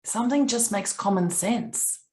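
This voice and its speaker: A woman in her late forties, raised in Papua New Guinea, coaching a group on a video call in an earnest, reflective way with long pauses. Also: mid-range voice, dark tone.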